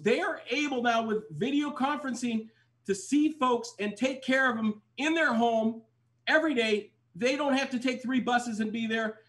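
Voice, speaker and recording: A man's voice: very high-pitched, fairly bright tone. An older man, a doctor whose first language is Spanish, making an urgent fundraising appeal over a video call and repeating his pleas. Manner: urgent, pleading, repeats phrases. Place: indoors, plain wall, home video call. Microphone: webcam on video call.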